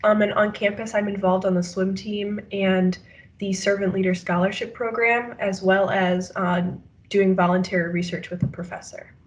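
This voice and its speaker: A woman's voice: mid-range voice, little pitch movement, moderate pace, slight background noise, poor audio. Hesitant young woman student. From Madison, Wisconsin. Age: young adult. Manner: hesitant, many uh fillers. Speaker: student panelist.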